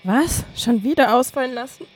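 A woman's voice: on the high side, moderate pace, natural voice. A woman acting a coaxing, casual young woman. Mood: caring, coaxing. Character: caring, upbeat young woman friend, gently pushy. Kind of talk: naturalistic audio drama dialogue.

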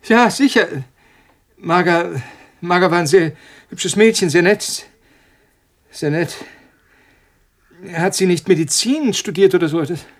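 An actor in a radio drama playing a cornered male scientist, agitated and halting, repeating himself as he panics and pleads. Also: high-pitched. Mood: hesitant recollection. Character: cornered, panicking male molecular biologist pleading with his tormentor.

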